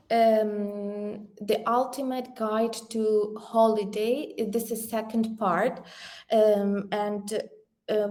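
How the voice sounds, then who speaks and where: Slow, hesitant woman from Ukraine. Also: mid-range voice. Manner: slow, hesitant, many fillers and restarts. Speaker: workshop presenter, online seller. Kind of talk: online workshop presentation.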